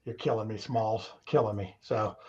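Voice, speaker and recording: A man's voice: on the low side, fairly even intonation, normal speed, dark tone, lo-fi sound. A man hosting a casual talk show, rambling along in a folksy way with frequent chuckles.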